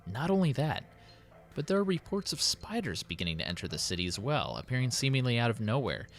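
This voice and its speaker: A man voicing a worried, dutiful city president who dictates his diary in a formal, measured, solemn way. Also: medium pitch, big pitch swings, faint music behind, pitched above the performer's own voice. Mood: troubled, resolute. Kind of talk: dramatic in-character diary reading.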